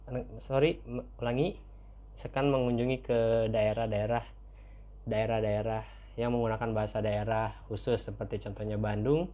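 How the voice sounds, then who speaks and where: A young Indonesian man from Tangerang giving a semi-formal student presentation in a halting way, often repeating and restarting his phrases. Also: mid-range voice. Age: young adult. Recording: muffled, phone-like narrow-band audio.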